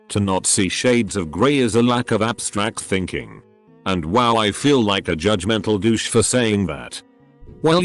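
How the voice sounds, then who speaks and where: Low-voiced man reading online posts. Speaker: narrator.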